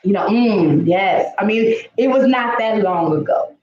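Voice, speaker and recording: A woman's voice: mid-range voice, animated, a little slow, a little mellow, slight background noise. An American woman in her thirties, a comedian casually telling personal stories in conversation. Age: 30s. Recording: echoey room, dull lo-fi audio.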